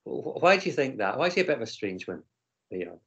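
A male teacher running an online lesson, his voice at an ordinary middle pitch. He talks in a halting way, full of ums and false starts, and the call audio sounds slightly dull. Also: big pitch swings, a bit bright, slightly rough. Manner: hesitant, frequent ums and restarts. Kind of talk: online class discussion. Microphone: video call.

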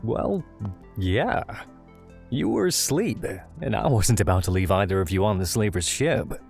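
An actor playing a kind, earnest young adventurer who reassures others in an audio drama. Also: male voice, fairly low pitch, lively intonation, unhurried, vocal fry, a little mellow, faint music behind. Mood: reassuring.

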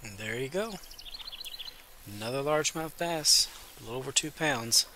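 A man with a cold, sounding congested, giving relaxed, chatty commentary while playing a game and talking to his viewers. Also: medium pitch, normal speed, noisy background. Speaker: gaming video host. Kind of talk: casual gameplay commentary.

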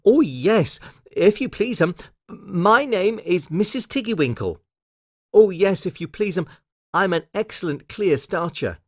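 A man's voice: high-pitched, expressive, unhurried, husky, dry recording, studio quality, pitched above the performer's own voice, heavily acted. A man voicing a fussy, eager little female hedgehog washerwoman from a children's storybook, with flustered, hesitant politeness. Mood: eager, deferential.

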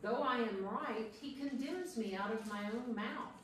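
Slow, anguished sufferer in an echoey room, read by a woman. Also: on the high side, lightly acted. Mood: resigned lament. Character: anguished sufferer, despairing man crying out against God. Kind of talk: dramatic scripture reading. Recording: echoey room from far off, faint noise.

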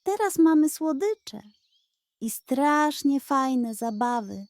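A woman voicing a lively, knowing female goat who explains things eagerly and teases, in a children's audio story. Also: high-pitched, slow pace, dry recording, clean studio sound, pitched above the performer's own voice.

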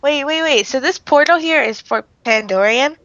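A woman chatting casually and excitably as a co-player in a game, asking questions, on dull voice-chat audio. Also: high voice, normal speed, crowd noise. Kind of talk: casual chat while gaming.

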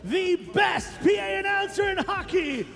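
A man announcing an introduction to an arena crowd in a drawn-out, hyped style. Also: animated, gravelly, a bit bright, shouting. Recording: music behind a booming arena PA.

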